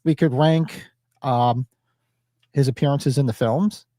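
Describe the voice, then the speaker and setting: A man's voice: medium pitch, takes it slowly, mellow, dry recording. A man co-hosting a casual horror podcast, sniffly from allergies, rambling with plenty of fillers. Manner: rambling, laid-back, lots of fillers. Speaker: podcast co-host.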